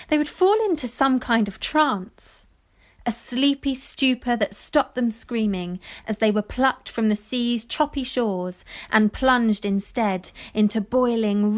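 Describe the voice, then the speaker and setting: A woman playing a wistful granddaughter, giving lyrical, measured narration in an audio play. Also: on the high side. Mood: wistful, descriptive. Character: wistful granddaughter narrating family memories of fishing village life. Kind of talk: poetic scripted narration.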